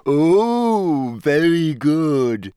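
Audiobook ghost girl played by a man: glum, touchy, high-pitched and drawn out, full of self-pity. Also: big pitch swings, extremely slow, mellow, clean tone, no room sound, clean studio sound, exaggerated voice. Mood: smug, chatty. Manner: mournful, shrill when upset. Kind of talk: audiobook character voice.

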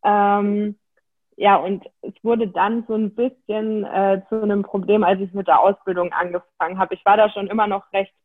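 A woman in her late twenties speaks as an interview guest, relaxed and hesitant, with frequent fillers. Her voice sits at a middle pitch. It comes through a narrow, tinny phone-like line. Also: moderate pace. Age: 20s. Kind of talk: casual interview talk.

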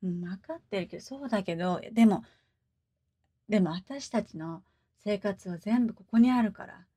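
A woman's voice: mid-range voice, fast talker, warm tone, husky, no echo, natural voice. An actress playing an earnest, dutiful woman who tells her story reflectively and hesitantly in an audio drama monologue. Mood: pleading. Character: adult Japanese daughter recalling her diver mother, earnest, dutiful.

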